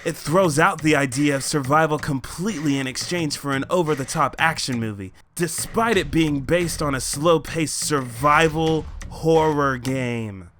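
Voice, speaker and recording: A man's voice: a little high, measured pace, few pauses, a bit bright, a little hiss or hum. A man co-hosting a movie countdown, delivering scripted comic narration in an emphatic, over-the-top style. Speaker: co-host of a movie countdown show.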